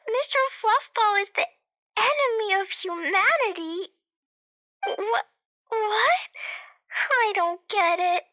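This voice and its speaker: High-pitched, innocent little girl. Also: animated, full character voice. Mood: bewildered. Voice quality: childlike, soft-edged. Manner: innocent, halting when upset. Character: sweet naive little girl, playful, earnest and easily upset. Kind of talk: video game voice acting. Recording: game music behind the voice. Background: game music.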